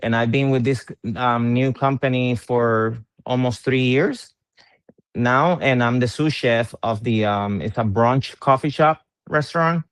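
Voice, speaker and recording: A man's voice: medium pitch, a little slow, smooth, no room sound. A middle-aged man from Puerto Rico, an interviewed musician, talking in a casual conversation, hesitant and full of fillers and repeated words.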